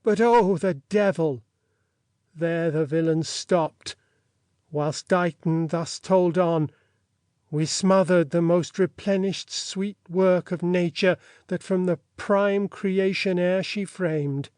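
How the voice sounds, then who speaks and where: A man reading an audiobook in a measured, formal and theatrical way, as a scripted literary narration. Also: high voice, steady tone, darkish tone, clean tone, dry recording, clean studio sound.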